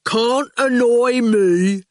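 A woman voicing a huge, sly male thug who talks slowly and calmly in clipped phrases. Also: fairly high pitch, no echo, studio quality, pitched above the performer's own voice. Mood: menacing calm. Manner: clipped speech that drops its subjects, placid and slow. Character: huge sly menacing male thug, calm and slow-witted.

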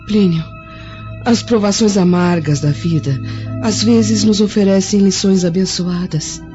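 An actress with a Brazilian accent playing a gentle, frail blind young noblewoman of ancient Rome in a radio drama, tender and earnest. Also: mid-range voice, a little slow, close to an everyday voice. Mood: gentle, resolute. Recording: dull lo-fi audio with music.

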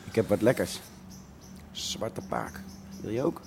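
An actor playing a laid-back hippie squatter in a radio drama, drowsy and casual. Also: male voice, lowish voice, unhurried, vocal fry, a little mellow, a little hiss or hum. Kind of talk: Dutch radio drama acting.

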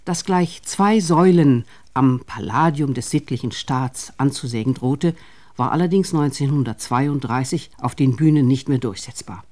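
A man reading a scripted radio documentary in a measured, formal manner. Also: medium pitch, a little mellow. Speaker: narrator of a radio feature.

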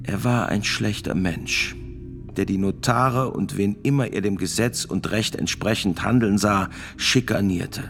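An actor playing a grave priest and notary of sixty-six, testifying in a radio drama with gravelly, deliberate, formal speech. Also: male voice, lowish voice, fairly bright tone, soft background music. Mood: grave, bitter. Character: sixty-six-year-old male priest and court notary, grave witness.

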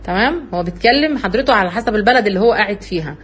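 A woman with an Egyptian Arabic accent giving an earnest religious talk, telling her own story in an animated way. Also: medium pitch, racing, breathy edge. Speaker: religious speaker.

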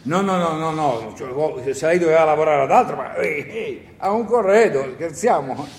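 A man's voice: fairly high pitch, lively intonation, normal speed, lightly acted. A man playing an awkward, talkative partisan on stage, bashful and eager, hesitating and repeating himself in colloquial theatrical speech. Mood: flustered, apologetic. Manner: hesitant, stammering, repetitive, colloquial interjections. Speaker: actor and director performing a solo play. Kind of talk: theatrical dialogue, radio drama monologue. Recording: echoey theatre hall with faint noise.

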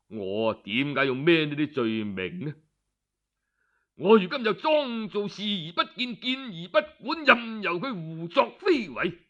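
A male storyteller plays a haughty, calculating lord of ancient China in a Cantonese audiobook tale. The delivery is slow and sinister, with a bright, forceful edge that drops low in scorn. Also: sing-song, exaggerated voice. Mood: sinister scheming.

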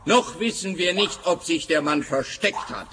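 An actor playing a pompous, dim police official who talks in a self-satisfied, long-winded way in a radio play. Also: male voice, on the high side, lively intonation, a little slow, sharp tone, slight background noise.

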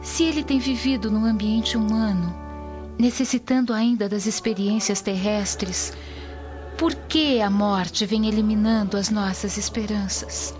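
Actress doing sad devout young brazilian woman in a radio play, earnest, medium pitch, music behind. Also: a little slow, a little mellow, lightly acted. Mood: sorrowful, questioning. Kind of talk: Brazilian radio drama acting. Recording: dull lo-fi audio under music.

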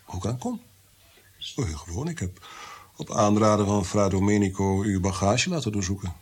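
An actor in a radio play playing a tormented, bitter, God-fearing prince-composer, brooding and theatrical, sometimes sinking to whispers. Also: male voice, fairly low pitch, lively intonation, normal speed, husky. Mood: cold, suspicious.

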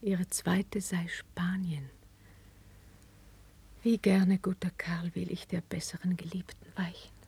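A breathy, sorrowful noble queen. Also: lowish voice, flat delivery, slow, pauses often, exaggerated voice. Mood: tender, sorrowful. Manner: declamatory, hushed and breathy, solemn. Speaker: radio drama actress. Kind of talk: 1950s German stage-style radio acting of classical verse.

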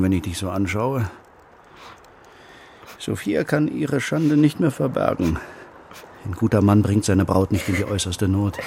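A man's voice: fairly low pitch, vocal fry, darkish tone, slight background noise. An actor playing a stern, suspicious man in a radio play, questioning in a severe, probing manner with formal diction. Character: stern, suspicious man interrogating a young relative. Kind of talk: German radio play acting.

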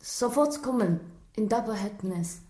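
An actress playing a Chinese cleaning woman in a radio drama, with a Chinese accent and halting, broken, very short answers. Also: medium pitch, expressive, mellow, natural voice. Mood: timid, terse. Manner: halting broken sentences, very few words. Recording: roomy echo.

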